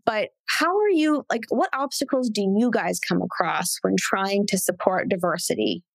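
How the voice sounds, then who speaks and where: A woman's voice: medium pitch, moderate pace, creaky, no echo. A woman, a TV showrunner, talking frankly and casually as a podcast guest, swearing now and then. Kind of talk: candid casual conversation.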